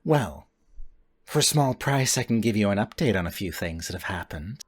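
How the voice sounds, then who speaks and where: A man plays a shrewd informant with animal ears in a tabletop roleplaying game. His voice is on the low side, and he talks in a thoughtful, wry way, weighing what everything is worth. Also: expressive, moderate pace, slightly rough. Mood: guarded, bargaining. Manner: thoughtful, hesitant hums, sweary street talk.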